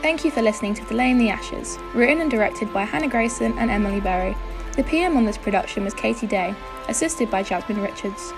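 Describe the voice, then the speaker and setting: Lady doing audio play credits, scripted read, bit high voice, music clearly behind her. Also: expressive, fast talker. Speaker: credits announcer.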